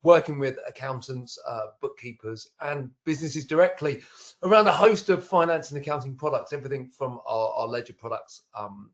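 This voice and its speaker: A man introducing himself as a guest from a software company on a webinar panel, upbeat with a joking streak. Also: mid-range voice, animated, moderate pace. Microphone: video call. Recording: dull lo-fi web call audio.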